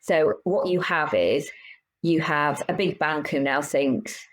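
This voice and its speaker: A woman's voice: low voice, takes it slowly. A woman in her fifties, a business owner and podcast guest, talking frankly and animatedly in casual interview chat with many restarts. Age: 50s.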